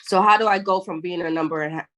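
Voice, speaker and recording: A woman's voice: lowish voice, fast talker, fairly bright tone. A woman calling in to a video call with a question, hesitant and restarting often. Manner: hesitant, restarts, self-described introvert. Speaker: caller asking a question.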